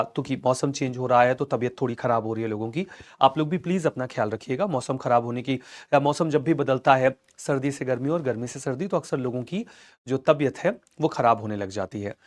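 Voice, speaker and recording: A man with an Indian accent and a slightly hoarse throat, teaching a live online history class and reassuring his students as he goes. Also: medium pitch. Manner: reassuring, calls students "beta", mixes English into Hindi.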